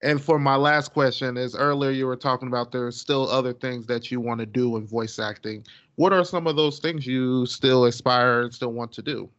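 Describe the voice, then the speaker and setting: A man's voice: medium pitch, steady tone, moderate pace, a bit bright, no echo. A man hosting a podcast, asking questions in a loose, casual way full of "like" and "stuff like that". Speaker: podcast host. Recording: dull lo-fi sound.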